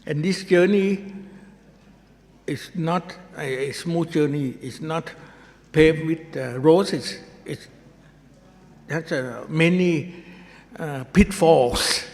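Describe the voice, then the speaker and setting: Thai accented guy moderating, real slow and careful, kinda high voice, big hall echo. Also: a little mellow. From Thailand. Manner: slow and deliberate, halting with repeats. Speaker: conference moderator. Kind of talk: formal conference remarks. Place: large conference hall.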